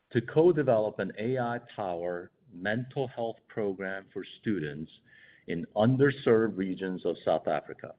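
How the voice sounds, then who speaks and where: A man speaking as a company executive, reading scripted earnings call remarks in a formal, measured way. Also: on the low side. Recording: dull, band-limited conference call audio.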